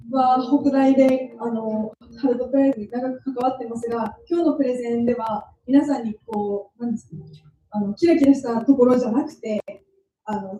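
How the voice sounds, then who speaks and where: Young woman, Japanese accent, dark and mellow voice. Modest and hesitant speaker, heard distant in a roomy hall. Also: fairly high pitch. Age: young adult. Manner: modest, hesitant, many fillers. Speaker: guest speaker, student entrepreneur. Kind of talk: conference talk. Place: university event venue. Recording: roomy, distant hall sound with faint noise.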